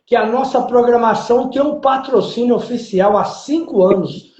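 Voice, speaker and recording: A man's voice: very high-pitched, normal speed, darkish tone. A man hosting a podcast, introducing guests formally and with emphasis. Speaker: podcast host. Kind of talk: live podcast interview. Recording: roomy, dull lo-fi sound.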